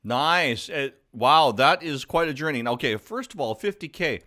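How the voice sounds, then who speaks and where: A man's voice: a little high, expressive, moderate pace, sharp tone. A man hosting a live talk show, upbeat and exclamatory, drawing out his words as he introduces and questions a guest.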